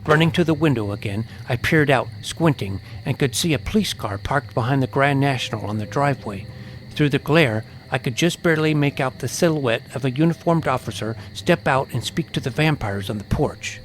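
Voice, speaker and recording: A man's voice: medium pitch, expressive, normal speed, poor audio. Man narrating suspense, noticeable background noise. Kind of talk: dramatic scripted first-person suspense narration.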